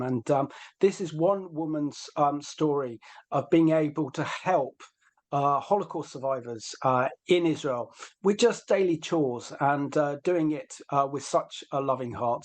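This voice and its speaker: A man with a fairly high voice hosts an online webinar. He introduces and links items as an interviewer would, in a hesitant, halting delivery full of ums.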